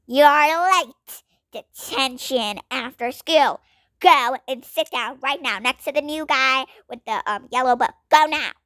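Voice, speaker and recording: A woman voicing a strict female schoolteacher who barks loud orders at late students in a game roleplay. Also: high-pitched, takes it slowly, no echo, clean studio sound. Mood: scolding. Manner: bossy, loud scolding orders.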